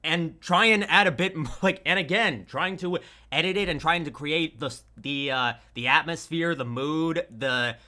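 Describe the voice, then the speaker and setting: Very bright-voiced man, pitched slightly high. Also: normal speed, few pauses. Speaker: audio editor on a panel. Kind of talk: casual retrospective discussion.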